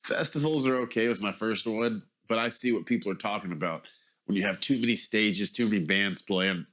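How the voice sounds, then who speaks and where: A man in his forties with a low-pitched, slightly stuffed-up voice. He talks casually to his vlog audience, hesitating and correcting himself as he works his way around to a point. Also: a little fast, fairly bright tone. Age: 40s. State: mildly congested. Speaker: vlogger. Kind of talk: casual rambling monologue to camera.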